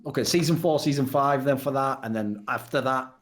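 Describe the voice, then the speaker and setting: A man's voice: mid-range voice, brisk. A man hosting a casual podcast, talking in a blunt, sarcastic way and swearing freely. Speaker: podcast host. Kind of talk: casual talk show hosting.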